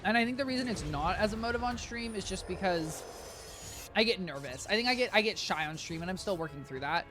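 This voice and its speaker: A game streamer with a high, squeaky voice chatting casually on a live stream, rambling and correcting themselves often while talking to chat. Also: animated, fast talker, over music. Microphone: desk mic with compressor.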